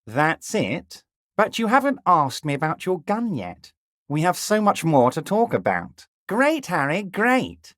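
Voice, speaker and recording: An actor voicing a jovial, scheming middle-aged union boss in a video game, smooth and ingratiating, dropping low and soft on pet names, crude at times. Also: male voice, no room sound, studio quality, heavily acted. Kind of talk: video game character dialogue.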